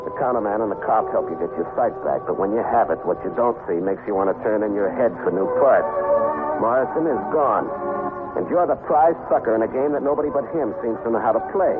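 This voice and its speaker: An actor playing a tough, wisecracking private detective in 1950s radio crime drama, sardonic, clipped and threatening. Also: male voice, on the low side, brisk, loud music behind the voice, natural voice. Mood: wry, brooding. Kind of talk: 1950s radio crime drama acting, second-person narration. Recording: muffled, noisy 1950s radio broadcast recording.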